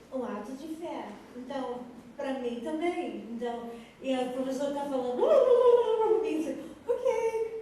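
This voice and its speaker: Lady, slow soft halting portuguese, super echoey hall. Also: high voice. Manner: simple, halting Portuguese, laughs often. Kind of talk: live Q&A at a youth church service. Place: large church hall. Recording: echoey hall, distant mic, faint noise.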